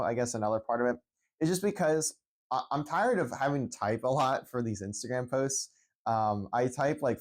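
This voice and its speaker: A young man from Central Pennsylvania talks unscripted into his phone at home, rambling with many restarts and fillers. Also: medium pitch. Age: young adult. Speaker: esports head coach. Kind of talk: unscripted vlog monologue.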